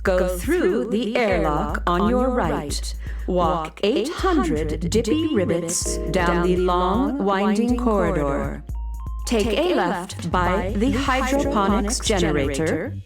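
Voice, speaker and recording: A woman's voice: medium pitch, animated, slow pace. A woman playing a lonely, self-doubting navigation computer of an abandoned spaceship in a children's radio play. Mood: matter-of-fact. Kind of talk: children's radio theater acting. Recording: distant voice under loud music.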